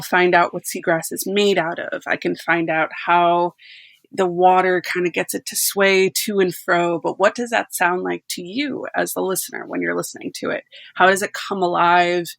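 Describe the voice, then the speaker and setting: A woman's voice: on the low side, vocal fry, dry recording. A young woman, a podcast sound designer, chatting casually and laughing easily.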